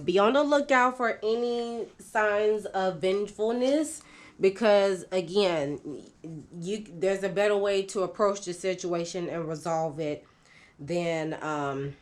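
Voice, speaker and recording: A woman, congested and nasal from a cold, giving an unscripted tarot reading in a slow, halting way with many fillers. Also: fairly low pitch. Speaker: tarot reader.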